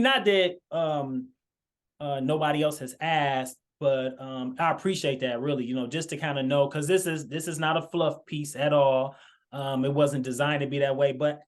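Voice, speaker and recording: A man hosting a marriage podcast over a video call, speaking earnestly with many fillers and restarts. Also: a little high. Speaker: podcast host. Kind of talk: casual video-call chat.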